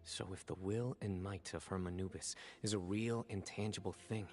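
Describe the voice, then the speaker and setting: An actor playing a stern, composed young desert guardian in a video game, speaking in a measured, deliberate, solemn way with reflective resolve. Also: male voice, sits low, fairly even intonation, a little mellow. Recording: background music under dialogue.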